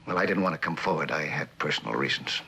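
An American man in middle age playing an earnest, conscience-stricken company man in a 1960s TV drama, speaking haltingly and soberly. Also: extremely low pitch, creaky, bright, forceful tone, husky, full character voice. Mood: halting, grave. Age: middle-aged. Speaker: actor playing a witness. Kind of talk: 1960s television drama acting.

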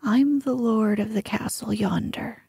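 A woman's voice: fairly high pitch, measured pace, creaky, husky, no echo, heavily acted. A woman voicing a grateful middle-aged lord of a castle in a whispered storybook reading. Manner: warm, grateful.

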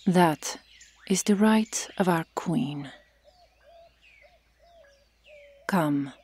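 A stern Amazon warrior guarding her jungle lands, acted by a woman. Her voice is soft-edged and mellow, slipping into whispers. She speaks slowly and formally, with solemn command, in an ASMR roleplay. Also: on the low side. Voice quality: breathy, often whispering. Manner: formal, solemn, deliberate phrasing.

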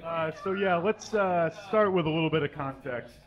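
Deadpan man doing a mock-earnest reading. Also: fairly high pitch, normal speed, highs cut off, a crowd in the background. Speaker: guest reader. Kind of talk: comic dramatic reading. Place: small stage with curtains and brick wall. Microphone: stand mic.

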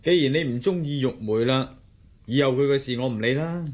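Radio drama husband, male actor: young, Hong Kong Cantonese, mid-range husky voice, earnest, defensive, teasing. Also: medium pitch, a little fast, natural voice. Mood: sulky.

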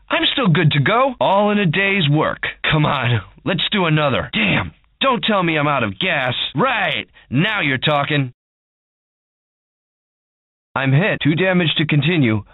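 An actor voicing a cocky, easygoing fighter pilot in a video game, with punchy, clipped calls through a radio filter. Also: male voice, fairly high pitch, very animated, measured pace, dry recording, heavily acted. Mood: brisk, confident. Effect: cockpit radio filter. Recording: tinny, phone-like radio channel.

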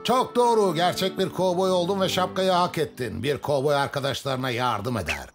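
A man voicing a patient, folksy cowboy vehicle who mentors youngsters in a children's cartoon, with a measured storytelling manner. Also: on the high side, lightly acted. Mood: wise, approving. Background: cartoon music.

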